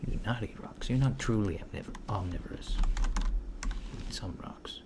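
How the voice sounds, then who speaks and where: A man playing a mild-mannered, curious alien interviewer in softspoken ASMR roleplay, asking hesitant, politely puzzled, clinical questions. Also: on the low side, animated, normal speed. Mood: curious, musing. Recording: clear background noise, keyboard typing. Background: computer keyboard typing.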